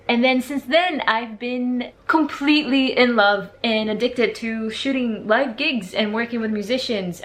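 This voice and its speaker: A young woman from Portugal, raised in Brazil, chatting casually on her vlog as a music photographer, animated and quick through her asides. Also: mid-range voice. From born in Portugal, raised in Brazil. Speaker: music photographer and channel host. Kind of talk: casual vlog chat to camera. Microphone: camera's built-in mic. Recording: faint room noise, no external mic.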